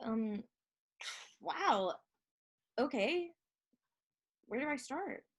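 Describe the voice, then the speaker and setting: A woman acting an insecure, hurt younger sister fresh out of rehab in a stage play, speaking in a halting, emotional way. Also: sits high, animated, heavily acted. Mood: stunned, shy. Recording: live stage recording.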